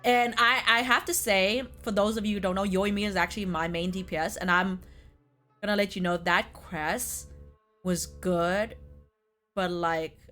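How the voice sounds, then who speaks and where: A woman with a mid-range voice talks casually, like the host of a gaming video. Faint music plays behind her relaxed, conversational delivery. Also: mid-range voice, moderate pace. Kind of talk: casual chatty video game discussion. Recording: music playing behind the talk. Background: background music.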